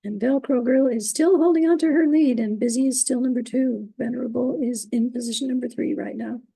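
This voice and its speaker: A woman moderating an online trivia quiz, reading out questions and standings, who is losing her voice and keeps clearing her throat. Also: on the high side, mellow, no room sound, low-quality recording. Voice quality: gravelly, husky. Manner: upbeat, reading standings, halting on invented words.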